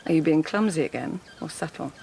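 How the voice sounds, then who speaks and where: A woman's voice: deep voice, a little fast, creaky, darkish tone, slight background noise, low-quality recording. A woman playing a shrewd, witty lady-in-waiting at an imperial court in a radio drama, speaking in a poised, articulate, formal way. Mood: wry, teasing. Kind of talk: 2000s radio drama acting.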